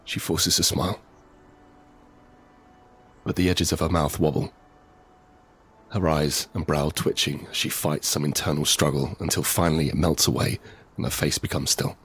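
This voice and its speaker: An actor playing an injured, desperate father in a first-person audio drama, halting as he speaks. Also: a bass voice, fairly even intonation, a bit bright, slight background noise. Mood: pained, grave narration. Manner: halting, repetitive, pleading in dialogue. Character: injured, desperate father pleading to save his family.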